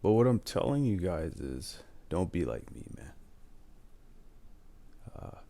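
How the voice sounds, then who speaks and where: A man's voice: deep voice, very animated, slow pace, gravelly, mellow. A man in his twenties talking casually and candidly, giving life advice and often adding "man". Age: 20s. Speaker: advice channel host.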